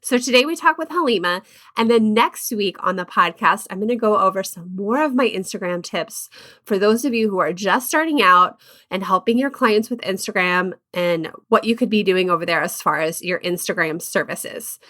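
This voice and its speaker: Woman, podcast host, bright tone, candid, upbeat, conversational. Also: medium pitch, normal speed. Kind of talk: podcast intro and interview.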